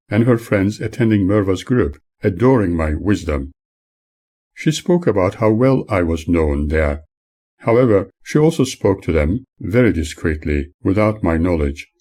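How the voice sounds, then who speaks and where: A man reading his own memoir aloud as scripted narration, in a formal, measured manner. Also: low-pitched, darkish tone.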